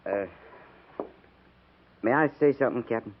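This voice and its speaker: A man acting a gruff, by-the-book American cavalry sergeant with a blunt, clipped military manner in a 1950s radio drama. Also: mid-range voice, moderate pace, natural voice. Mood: subdued, blunt. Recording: tinny narrow-band 1950s radio recording.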